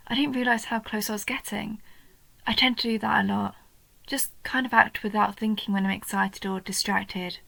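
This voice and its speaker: Woman playing a young goblin girl, nerdy and sweet, eager chatter, higher-pitched, close dry sound, roleplay audio. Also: gravelly, bright, forceful tone, no echo, full character voice, rough recording. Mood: excited, apologetic. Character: young female goblin, nerdy, bubbly, sweet, curious bookworm. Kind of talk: roleplay audio, intimate one-sided scripted dialogue.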